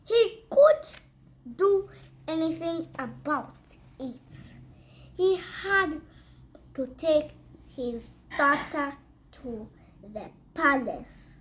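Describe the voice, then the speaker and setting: A reader telling a children's fairy tale aloud in a halting way, repeating and correcting words. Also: high voice, a bit bright, slight background noise. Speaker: storyteller reading aloud.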